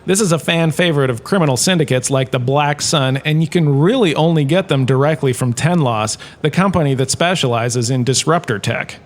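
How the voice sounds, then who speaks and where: An actor playing a washed-up former star turned lecturer, giving a breezy, joking lecture in a comic audio drama. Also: male voice, a little high, a little fast, hardly any pauses, close to an everyday voice. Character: washed-up former star, now a lecturer, chatty and self-important.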